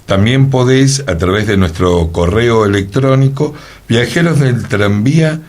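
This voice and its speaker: A man with a Rioplatense accent reading a scripted promo as a station announcer, in a steady read-out. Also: medium pitch, measured pace. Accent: Rioplatense Spanish.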